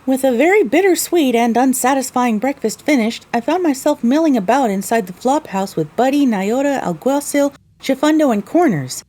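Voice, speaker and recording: A woman's voice: high-pitched, lively intonation, normal speed, mellow. A woman narrating a scripted first-person story.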